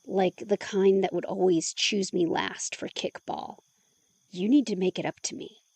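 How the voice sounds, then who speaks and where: A woman's voice: medium pitch, steady tone, unhurried, gravelly, a little mellow, breathy edge, dry recording. A woman acting a curious, teasing helper in an intimate audio drama, playful and blunt as she prods for more.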